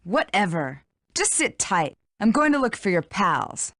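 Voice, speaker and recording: An actress playing a tough, proud fox police inspector in a video game, brisk and self-assured. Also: female voice, medium pitch, sing-song, a bit bright, no room sound, clean studio sound. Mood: tough, resolute.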